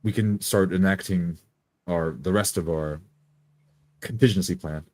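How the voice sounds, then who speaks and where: A man playing an earnest, strategic adventurer in a tabletop game, speaking in a deliberate, halting way. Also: deep voice, darkish tone, no room sound. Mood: earnest, planning.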